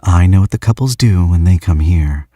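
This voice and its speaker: A soft-spoken female demon with a calm, alluring manner and careful, formal phrasing, as a low-voiced man reads her. The voice sits deep and even, close to the mic in a dry, dead space. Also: dry recording. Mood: curious. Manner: soft, measured, formal speech without contractions.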